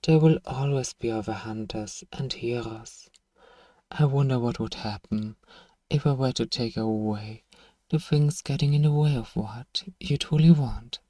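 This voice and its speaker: A man playing a powerful, manipulative vampire in an ASMR roleplay, theatrical and commanding, with a softly menacing, condescending manner. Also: medium pitch, unhurried, no echo, recorded from a distance. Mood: musing menace. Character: powerful suave manipulative male vampire, commanding yet coaxing. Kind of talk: ASMR roleplay, scripted hypnotic monologue.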